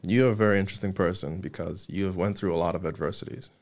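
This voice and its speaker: A young man hosting a casual podcast interview, relaxed and friendly, swearing now and then. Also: sits low, fast. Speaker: podcast host.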